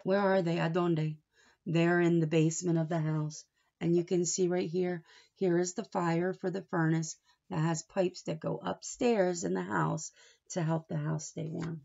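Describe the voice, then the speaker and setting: Casting: female teacher reading a picture book, slow and expressive, some gravel, pausing after questions; slightly muffled recording. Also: on the low side. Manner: expressive, asks questions and pauses for answers. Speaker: teacher reading aloud to young children. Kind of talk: children's story read-aloud with asides.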